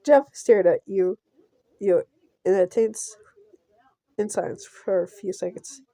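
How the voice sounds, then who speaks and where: A woman's voice: medium pitch, slow, gravelly, mellow, no echo. A woman reading a visual novel aloud as a casual playthrough, stumbling over and repeating words as she goes. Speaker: game player narrator.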